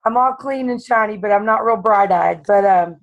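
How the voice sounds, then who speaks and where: A woman with a raspy voice who has just woken up, sharing earnestly on a call with halting speech and many restarts. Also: medium pitch, highs cut off. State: just woke up. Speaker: caller.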